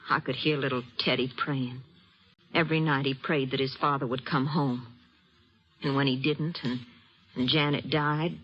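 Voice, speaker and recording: An actress playing a protective, wounded frontier aunt in an old-time radio western, speaking earnestly and emotionally with a husky voice. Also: female voice, deep voice. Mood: anguished, regretful. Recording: dull, lo-fi old radio recording.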